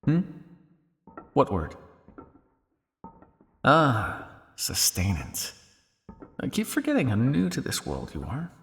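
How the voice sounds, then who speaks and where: A man playing a cynical, suave vampire scientist in an ASMR roleplay, teasing and condescending with theatrical, drawling pauses. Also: medium pitch, huge pitch swings, vocal fry, a bit bright, big-room sound, soft background music, exaggerated voice. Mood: probing, wry.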